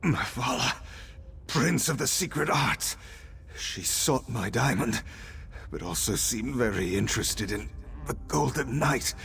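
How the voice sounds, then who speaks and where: Creaky, dying elf sentinel. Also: male voice, mid-range voice, lively intonation, a little slow, a bit bright, full character voice. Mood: fading, solemn. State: weak, fading. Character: dying male elf sentinel, poisoned, weary and solemn. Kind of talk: video game voice acting.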